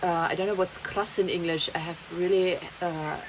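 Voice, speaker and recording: Brief: young German-accented woman, lower voice, rambling casual vlog chat, rain noise behind. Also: fairly even intonation, moderate pace. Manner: rambling, chatty, laughs often, slips German words in. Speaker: vlogger. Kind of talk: casual monologue to camera. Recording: noisy background, rain heard.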